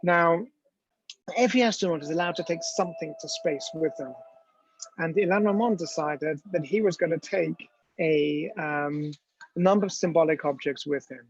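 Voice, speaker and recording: A man's voice: on the high side, moderate pace. A man giving a storytelling lecture with slides, talking conversationally with many ums and restarts, in a dull, dry lo-fi recording.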